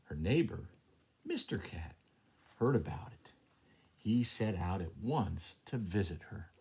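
A middle-aged man reads a story aloud to his students in an unhurried way. His voice is low and breathy, swinging expressively, and he slips into casual asides. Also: expressive, mellow. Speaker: teacher reading a story to students. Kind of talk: homemade read-aloud storytime, chatty asides. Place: small room at home, indoors. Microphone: handheld tablet at arm's length.

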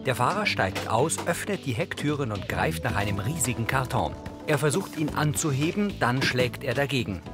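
A man's voice: medium pitch, lively intonation, moderate pace, over music. A man reading scripted audio description in a neutral, matter-of-fact way.

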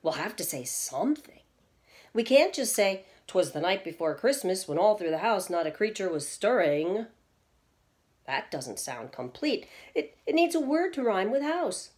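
A woman's voice: medium pitch, big pitch swings, normal speed, darkish tone, lightly acted. Woman reading as an earnest, worried storybook father. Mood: pondering, fretful.

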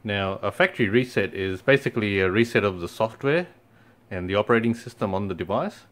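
A young man talking through a product how-to, easygoing and a little halting, full of ums and you-knows. His voice sits on the lower side. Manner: relaxed, many fillers. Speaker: company product presenter. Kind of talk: casual how-to walkthrough. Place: indoors in front of a TV screen.

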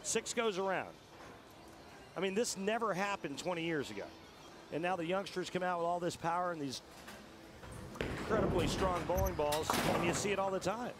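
A man analyzing bowling shots for a TV broadcast, talking through technique in long explanations with a slightly raised pitch. Clear arena background noise sits behind him. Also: normal speed, long pauses. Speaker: color commentator. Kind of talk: sports color commentary.

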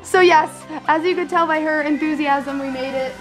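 A woman's voice: sits high, fairly even intonation, moderate pace, fairly bright tone, soft background music. A young woman under twenty-one, chatting and laughing as she films a casual travel vlog on a handheld camera. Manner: giggly, chatty, often laughing. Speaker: vlogger. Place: hotel room and streets.

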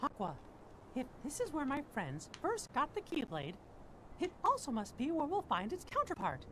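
Very high, earnest cartoon mouse king. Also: wildly swooping pitch, normal speed, pushed and bright, a little hiss or hum, heavily acted, poor audio. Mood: determined. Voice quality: high falsetto. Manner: earnest, urgent. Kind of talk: video game cutscene acting.

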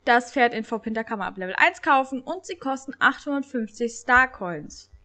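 A woman's voice: on the high side, moderate pace. Bubbly young woman with a cold. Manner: excited, chatty, stumbles when reading. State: has a cold. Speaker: gaming channel host. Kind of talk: casual gameplay commentary with reading aloud.

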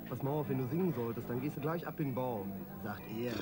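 An actor playing a bossy yet jittery small-time crook in a radio crime drama, talking in colloquial slang. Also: male voice, mid-range voice, quick pace, music in the background, natural voice. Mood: wary.

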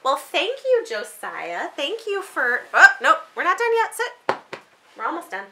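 A woman's voice: high-pitched, wildly swooping pitch, unhurried, background noise. A woman in her thirties chatting casually with a small child, encouraging and often repeating the child's words back. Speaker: book vlogger and mother hosting with her young child. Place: small book-lined room indoors.

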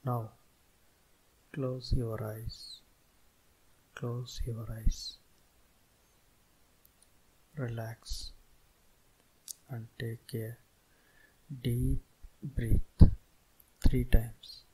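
A man leads a guided meditation at an extremely slow pace. His delivery is soothing and deliberate, with little rise or fall in pitch and long gaps between short phrases. A faint hiss sits behind the voice. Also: flat delivery, dark tone, poor audio.

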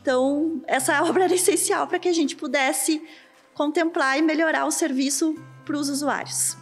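A woman's voice: sits high, steady tone, normal speed, soft background music. A woman in her thirties with a Brazilian Portuguese accent explains things in an interview in a large empty kitchen, speaking into a handheld mic and using "né" often. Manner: explanatory, uses filler "né". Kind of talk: on-site interview. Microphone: handheld reporter mic.

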